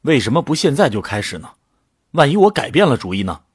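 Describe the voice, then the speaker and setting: A man's voice: mid-range voice, sing-song, fast, husky, no echo, studio quality. An actor playing an earnest man who volunteers for a drug trial and tells his own story in a science fiction radio drama. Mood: urgent, agitated. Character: male first-person narrator, anxious but resolute drug-trial volunteer. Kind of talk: Chinese sci-fi radio drama acting and narration.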